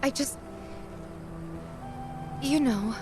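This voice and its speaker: An actress playing an earnest, self-doubting young woman in a video game, speaking haltingly. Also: sits high, a little mellow. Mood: earnest, uncertain. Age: young adult. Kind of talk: video game cutscene acting. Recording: music behind the voice.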